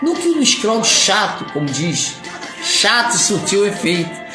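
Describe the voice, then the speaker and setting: A man's voice: sits high, big pitch swings, unhurried. A man from Rio de Janeiro with a Carioca accent, preaching a rambling, emphatic sermon with playful asides. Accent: Carioca Portuguese. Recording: echoey room with music behind.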